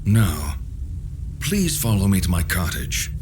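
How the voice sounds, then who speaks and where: An actor playing a mysterious, calm old hermit in a video game, speaking in a measured, wry way. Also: male voice, deep voice, background noise, full character voice. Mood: calm, grave. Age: older. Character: mysterious old man, calm hermit helping vampire hunters. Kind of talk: video game cutscene voice acting.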